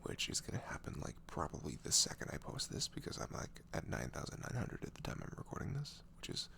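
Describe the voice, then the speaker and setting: A man speaking softly in a casual, hesitant, rambling ASMR style, apologetic in manner. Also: rumbling low, big pitch swings, fast, gravelly. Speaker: audio roleplay creator. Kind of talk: soft-spoken ASMR, casual rambling intro.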